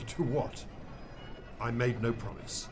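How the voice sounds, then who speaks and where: A man's voice: medium pitch, steady tone, takes it slowly, music in the background, lightly acted. An actor playing a dismissive businessman who runs charities, speaking breezily and offhandedly in an amateur radio drama.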